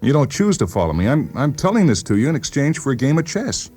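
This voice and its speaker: A man playing an agitated, paranoid patient in a dramatized story, insistent, halting and repeating himself. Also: medium pitch, very animated, darkish tone, soft background music, natural voice. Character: agitated paranoid male patient convinced the world conspires against him. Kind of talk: dramatized short story reading.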